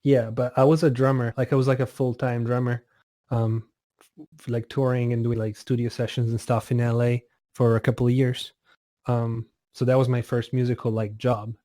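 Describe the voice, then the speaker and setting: A man in his twenties from Italy, a music producer chatting casually as a guest, relaxed and often laughing, with many fillers. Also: medium pitch, moderate pace, no room sound, clean studio sound. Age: 20s. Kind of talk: casual interview over a call.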